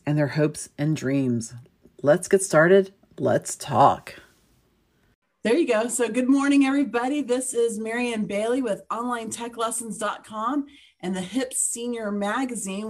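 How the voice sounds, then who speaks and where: A woman with a fairly low voice hosts an interview podcast in a friendly, conversational way. She tosses in quick asides as she asks questions. She sounds like she is on a video call. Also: darkish tone. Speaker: podcast host. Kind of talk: casual interview hosting.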